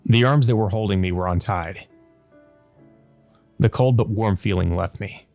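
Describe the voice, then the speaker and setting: A man with a fairly low voice reads a visual novel aloud for a let's play. He narrates the text and slips into casual asides. Music plays in the background. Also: unhurried, gravelly, darkish tone.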